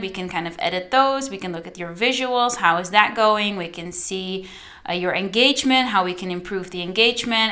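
A young woman from the Netherlands, a native Dutch speaker, hosts her solo podcast with a bright, clear tone. She chats loosely and directly to her listeners, with plenty of fillers. Her pitch sits in a middle range for a woman. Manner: chatty, addresses listeners directly, many fillers. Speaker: podcast host and social media coach. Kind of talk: casual solo podcast monologue.

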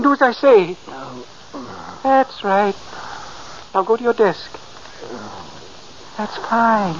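An actor playing the earnest spirit of a dead brother, theatrical and deliberate, in 1940s radio style. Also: male voice, extremely high pitch, fairly bright tone, exaggerated voice. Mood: urgent, commanding. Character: ghost of an old brother, earnest, urgent, solemn. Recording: muffled, noisy old radio recording.

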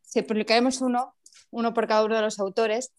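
Spanish woman, director on a video call; direct, pleased, fairly high voice. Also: little pitch movement, a little fast. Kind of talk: casual announcement.

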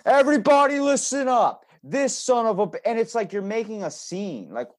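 A man's voice: very high voice, normal speed. A man hosting a casual podcast chat, talking bluntly with plenty of swearing and acting out bits as he goes. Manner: blunt, profanity-laced, animated re-enacting.

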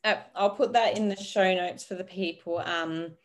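A woman hosting a podcast interview, affirming her guest and guiding the conversation with gentle prompts. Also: lowish voice, fairly even intonation, moderate pace. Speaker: podcast host.

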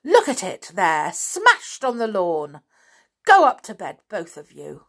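A woman's voice: medium pitch, huge pitch swings, takes it slowly, a bit bright, heavily acted. A woman voicing a strict aunt who scolds crossly in a storybook reading. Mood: angry.

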